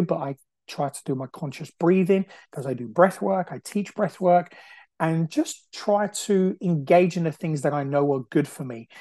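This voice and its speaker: A man's voice: fairly high pitch, mellow, no room sound. A man in his forties from Brazil, whose first language is Portuguese, hosting a podcast in an earnest, casual monologue full of "you know" and repeated phrases. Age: 40s. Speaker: podcast host. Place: at home on the sofa.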